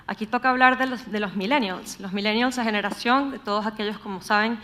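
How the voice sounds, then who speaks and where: A young woman with a Venezuelan accent from Caracas, giving a prepared public talk in a measured, explanatory manner. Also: medium pitch, a bit bright. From Venezuela, grew up in Caracas. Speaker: conference speaker. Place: on stage at a live talk event.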